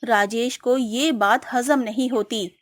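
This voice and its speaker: A woman's voice: a little high, fairly even intonation, takes it slowly, a little hiss or hum. A woman narrator reading a scripted cartoon moral story. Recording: music behind.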